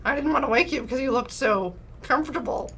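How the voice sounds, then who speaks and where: A woman's voice: high voice, quick pace, fairly bright tone, a little hiss or hum. A woman voicing a breezy, teasing young woman friend from a dating sim visual novel. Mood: breezy, reassuring.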